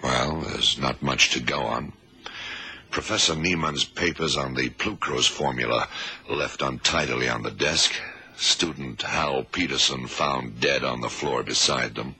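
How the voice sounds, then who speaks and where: An actor playing a cool, authoritative government agent in a radio drama, explaining things in a measured, commanding way. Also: male voice, rumbling low, bright, forceful tone, full character voice. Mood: clinical, brisk. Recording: noisy old radio recording.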